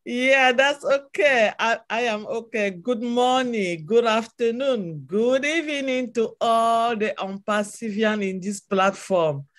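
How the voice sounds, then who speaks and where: A woman's voice: fairly high pitch, slow pace, hardly any pauses. A woman from Cameroon, a native French speaker with a Cameroonian French accent, giving a passionate motivational testimony on a video call with a repetitive preaching cadence. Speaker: webinar speaker.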